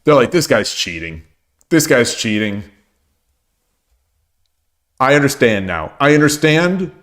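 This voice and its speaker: A man with a hoarse voice streaming shogi, talking casually and thinking aloud as he looks over his games, often repeating his phrases. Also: mid-range voice, sing-song, a little slow, fairly bright tone. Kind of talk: casual game commentary.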